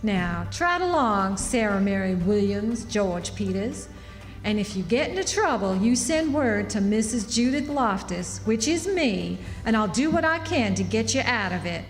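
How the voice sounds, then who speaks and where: A woman's voice: medium pitch, sing-song, unhurried. A woman playing a shrewd, motherly, prying frontier townswoman with a folksy American Southern accent in a live radio drama. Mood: knowing, kindly. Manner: folksy, emphatic. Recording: echoey hall, music behind.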